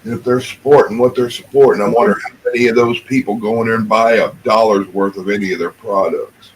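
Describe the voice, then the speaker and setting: Deep-voiced folksy man, noisy recording. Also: fairly even intonation, fairly quick. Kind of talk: casual chat.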